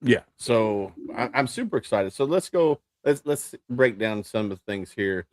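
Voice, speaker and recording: A man's voice: mid-range voice, expressive, moderate pace, no room sound. Dry-sounding upbeat male podcast host. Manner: upbeat, filler-heavy, previews topics. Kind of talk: casual hosting chat.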